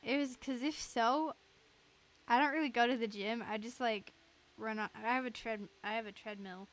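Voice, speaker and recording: A woman's voice: fairly high pitch, fairly even intonation, moderate pace. A woman chatting casually on a game livestream in a giggly way, reading out chat as she goes. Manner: giggly, reads chat aloud. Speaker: game streamer.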